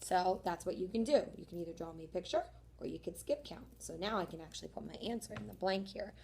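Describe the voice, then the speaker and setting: Young teacher lady losing her voice, kinda hoarse, friendly, walks thru each step for her class. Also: on the low side, fast, a little mellow, slight background noise. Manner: friendly, encouraging, talks through each step. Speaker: third grade teacher. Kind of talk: homework explanation video for students.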